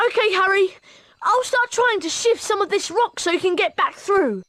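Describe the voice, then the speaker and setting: A woman voicing an excitable, anxious young schoolboy wizard in a video game, speaking in an earnest, exclamatory way. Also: extremely high pitch, moderate pace.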